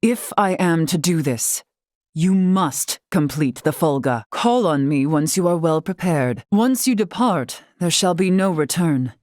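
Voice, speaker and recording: धीमे सुर वाली गंभीर महिला मुखिया. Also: female voice, low voice, darkish tone, no echo, studio quality. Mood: stern, resolute. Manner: formal, archaic, measured phrasing. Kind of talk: video game character acting.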